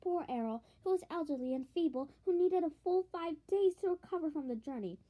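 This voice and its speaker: A woman reading a storybook aloud as narration, expressive, with the occasional stumble over a phrase. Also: very high-pitched, a little fast, phone-quality sound. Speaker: audiobook reader.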